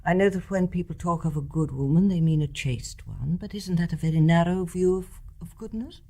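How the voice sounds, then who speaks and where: An older actress playing a wise, tolerant elderly mother in a radio play, speaking in a reflective, genteel, gently reasoning manner. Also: fairly low pitch, animated, fairly quick. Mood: gentle reproof. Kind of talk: measured radio drama acting.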